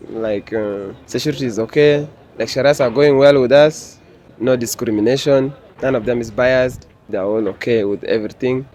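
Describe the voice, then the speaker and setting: A man's voice: medium pitch, a little mellow. A man, a law student answering interview questions in an easygoing way. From South Sudan. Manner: easygoing, with fillers and small laughs.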